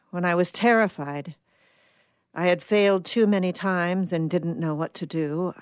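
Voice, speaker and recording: Lady doing hopeful space traveler in a story, earnest n reflective, really slow lowish voice. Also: a little mellow, dry recording, studio quality, natural voice. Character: reflective, hopeful survivor about to leave for Mars. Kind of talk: dramatic short story reading.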